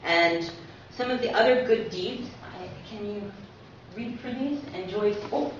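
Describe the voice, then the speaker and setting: A woman's voice: medium pitch, measured pace. A woman presenting to an audience in a conversational lecture style, with small asides and read-out sayings. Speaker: guest speaker presenting a book. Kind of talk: informal public lecture with read-out aphorisms. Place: echoing talk room at a community centre. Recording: echoey hall from far off, muffled, noisy.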